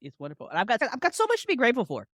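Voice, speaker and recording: A woman's voice: a little high, rapid-fire, dry recording, clean studio sound. Frank, slangy woman in her forties. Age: 40s. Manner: frank, informal and slangy, expressive. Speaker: podcast guest, audio drama creator and writer. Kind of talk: casual, candid interview chat.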